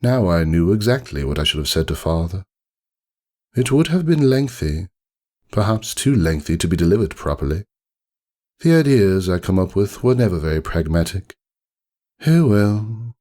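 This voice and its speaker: Man voicing a deep, pompous, melancholy aristocratic unicorn. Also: very animated, normal speed, a little mellow. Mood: resigned, rueful. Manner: pompous, wordy, theatrical, ruminative. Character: haughty, melancholy aristocratic male unicorn narrating his story. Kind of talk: dramatic storybook reading, first-person monologue.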